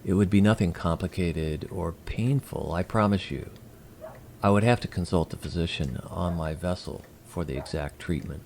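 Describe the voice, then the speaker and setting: Alien emissary for sci-fi audio drama, played by a man: low, gravelly, courteous and formal, faint noise behind. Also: darkish tone. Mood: earnest, measured. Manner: formal, measured, precise wording. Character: polite, curious, formal male alien emissary.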